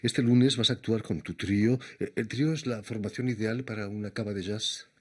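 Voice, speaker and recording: A man from Barcelona, putting measured questions to a guest as an interviewer. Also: lowish voice, breathy edge. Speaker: radio interviewer.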